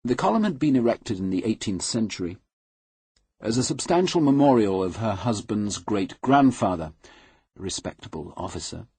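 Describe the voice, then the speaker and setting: Husky-voiced man reading a classic novel. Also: fairly low pitch, expressive, mellow, no room sound. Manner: measured, unhurried, formal. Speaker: audiobook reader. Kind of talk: scripted literary narration.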